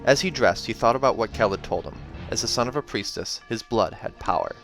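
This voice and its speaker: A man's voice: lowish voice, expressive, fairly quick, fairly bright tone. A man reading a fantasy novel aloud as dramatic scripted narration. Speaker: audiobook reader. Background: background music.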